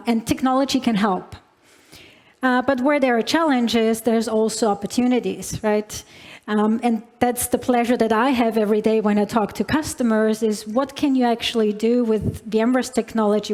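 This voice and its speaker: A woman's voice: a little high, a little mellow. A woman with a non-native English accent giving a conference keynote in a large hall, with many fillers and restarts as she addresses the audience. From Alpine region. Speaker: keynote speaker. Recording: long hall reverb.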